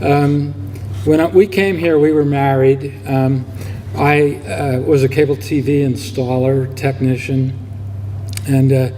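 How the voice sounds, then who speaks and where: A man in his sixties with a very soft, dark tone speaks to a gathering in an echoing hall. He is reflective and halting, telling things informally, with some background noise. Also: medium pitch, steady tone. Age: 60s. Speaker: donor speaking at a ceremony. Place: large room, public event.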